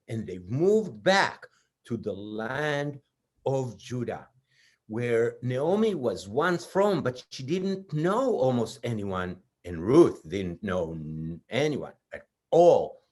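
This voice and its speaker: A man narrating a children's puppet show as a storyteller, in simple, deliberate phrases. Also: medium pitch, sing-song.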